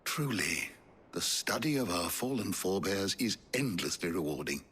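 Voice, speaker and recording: An actor playing an awed, learned scholar in a video game, very raspy, with an erudite and reflective manner. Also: male voice, low voice, big pitch swings, normal speed, fairly bright tone, heavily acted. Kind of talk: video game cutscene acting.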